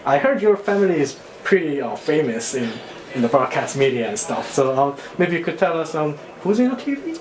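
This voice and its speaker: A man working as an interviewer, asking halting, informal questions. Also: on the high side, very animated. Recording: echoey room with crowd chatter. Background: crowd murmur.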